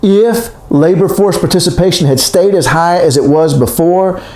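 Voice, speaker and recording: A man's voice: sits high, normal speed, a little mellow. A man in his sixties giving a recorded economics lecture, talking in a loose, conversational way and often correcting himself mid-sentence. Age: 60s. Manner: rambling, self-correcting, conversational. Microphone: recorded for a video lecture. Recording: echoey room.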